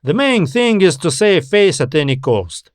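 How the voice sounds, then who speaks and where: A man's voice: on the high side, sing-song, a little slow, no room sound, studio quality, voice pushed up. A man voicing a shrewd, thoughtful manager who questions and ponders in audiobook dialogue. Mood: pondering, knowing. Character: shrewd male manager, thoughtful history-loving collector.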